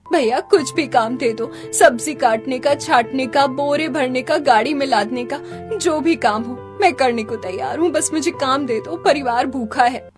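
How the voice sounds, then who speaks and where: Woman playing a high-voiced, earnest poor mother. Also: normal speed, music in the background, close to an everyday voice. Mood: pleading. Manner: earnest, pleading. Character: poor, hardworking young mother, pleading and devoted. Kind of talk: animated story dialogue.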